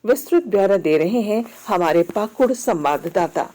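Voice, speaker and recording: A woman reads a scripted regional news bulletin in a measured, formal manner. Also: medium pitch, expressive, warm tone. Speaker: news reader.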